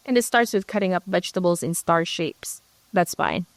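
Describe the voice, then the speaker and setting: A woman's voice: on the low side, animated, normal speed, dry recording. A woman from the Philippines, a ministry co-leader interviewed as a guest, telling her story in a reflective way. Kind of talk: conversational interview.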